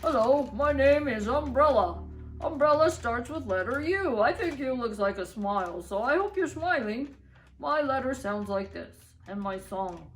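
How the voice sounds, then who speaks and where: A woman voicing a cheerful umbrella puppet, loud and sunny in a slow singsong. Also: on the high side, a little hiss or hum.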